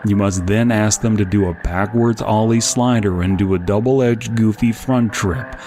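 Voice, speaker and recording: A man reading scripted comic narration in a deadpan, mock-instructional manner as a podcast narrator. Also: on the low side, moderate pace, faint music behind.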